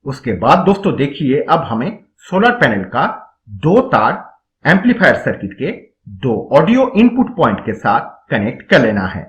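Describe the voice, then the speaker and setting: A man's voice: medium pitch, big pitch swings, moderate pace, dull audio. A man narrating a step-by-step electronics tutorial, instructional and addressing viewers directly as friends.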